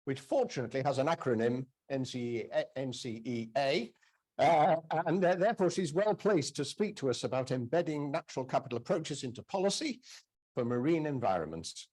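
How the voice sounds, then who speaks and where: A man's voice: mid-range voice, animated, brisk, darkish tone. Man introducing the next speaker. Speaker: session chair.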